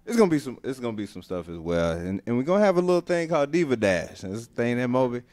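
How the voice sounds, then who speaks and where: A man's voice: medium pitch, big pitch swings, fast, a little mellow, dry recording. A man hosting a radio show with casual banter, in an informal, slangy way with repeated phrases.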